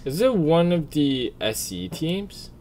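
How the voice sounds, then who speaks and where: A man's voice: fairly high pitch, sing-song, takes it slowly, a little mellow, a little hiss or hum. A man giving casual, slangy reaction commentary as a streamer, blunt and full of swearing. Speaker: streamer and video creator.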